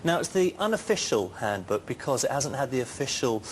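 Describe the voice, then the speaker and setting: A man's voice: mid-range voice, sing-song, a little fast, slight background noise, rough recording. A British man presenting and interviewing as host of a television shopping show.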